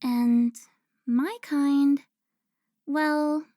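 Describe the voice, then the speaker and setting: A high-voiced vampire temptress, acted by a woman, sly and playful, who savors her words at a slow pace. She taunts like a seductive informant in a cinematic audio drama, recorded close and dry. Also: fairly even intonation, mellow, dry recording. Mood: smug, amused. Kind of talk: cinematic audio roleplay acting.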